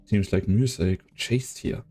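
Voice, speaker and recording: A man playing a calm tactical leader in a game fan dub, laconic, unhurried and understated. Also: lowish voice, faint music behind. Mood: calm, dry. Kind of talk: scripted anime game dub acting.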